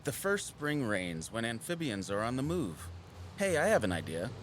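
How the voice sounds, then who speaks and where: An actor playing an earnest, caring male wildlife surveyor in an audio drama, casual, sincere and expressive. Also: medium pitch, moderate pace, a little hiss or hum, close to an everyday voice. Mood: eager, delighted. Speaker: habitat surveyor.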